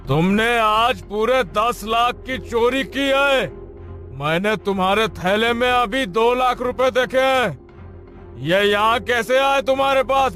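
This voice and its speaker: A man's voice: extremely high pitch, sharp tone, exaggerated voice. A man voicing a stern, commanding rich landowner in a cartoon story. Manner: commanding, accusing.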